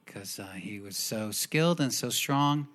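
Pastor preaching in short, paced phrases. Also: male voice, medium pitch, animated, a bit bright. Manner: speaks in short phrases, pausing for the interpreter. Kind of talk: sermon delivered in English with live interpretation.

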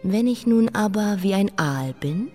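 A woman's voice: medium pitch, expressive, measured pace, darkish tone, faint music behind. An actress playing a defiant, sardonic young queen in a radio drama, blunt and challenging. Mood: defiant, probing.

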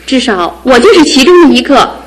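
An actress playing a lively young woman, the groom's younger sister, speaking in a bright, teasing way. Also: high-pitched, brisk, low-quality recording. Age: young adult. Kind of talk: 1970s radio drama acting.

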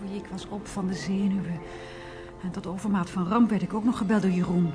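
A woman's voice: mid-range voice, fairly even intonation, a little fast, dark tone, soft background music, natural voice. An actress playing an anxious girlfriend in a radio drama, questioning her man in a fretful, insistent way. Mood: uneasy, probing. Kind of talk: Dutch radio drama acting.

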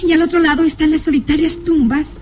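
A woman's voice: high voice, steady tone, fast talker, a little mellow, slight background noise, natural voice. An actress playing a fearful, superstitious young woman in a melodramatic radio drama. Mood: uneasy.

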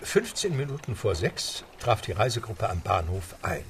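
A man narrating a radio play with measured storytelling. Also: on the low side, big pitch swings, a little hiss or hum. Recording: music beneath narration.

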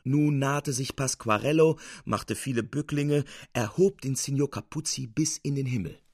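A man narrating a scripted literary tale for radio as an expressive, theatrical storyteller. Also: medium pitch, moderate pace, husky, dry recording.

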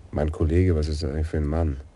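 A man's voice: rumbling low, level tone, quick pace, mellow, breathy edge. An actor playing a terse, questioning male police sergeant in a radio crime play. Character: probing male police sergeant, calm investigator.

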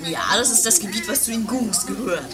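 A woman's voice: on the high side, fast, a crowd in the background, natural voice. A woman playing a bossy, boastful but secretly timid husband in an amateur comedy radio play, curt and grumbling. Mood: smug, dismissive. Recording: homemade 1980s radio play, loud music.

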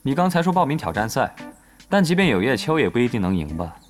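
男演员饰演语调起伏很大、爱较真的年轻男玩家. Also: male voice, medium pitch, very animated, fast talker, soft background music, close to an everyday voice. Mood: skeptical, sarcastic. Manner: wordy, questioning, sardonic. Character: cautious, nitpicking young male gamer, cheeky and sarcastic. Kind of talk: Mandarin audio drama acting.

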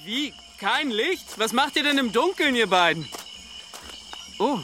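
A boyish, playful man in a radio drama, high-voiced and animated. He sounds breezy and affectionate, with faint noise and night insects behind him. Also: measured pace, exaggerated voice. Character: boyish, cheerful, affectionate man coming home.

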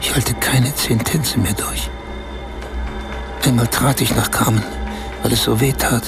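An actor playing a desperate, battered man who tells of his humiliation in short, halting phrases in a radio play. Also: medium pitch, fairly even intonation, music in the background, lightly acted. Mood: desperate, recounting. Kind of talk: radio play acting, storytelling.

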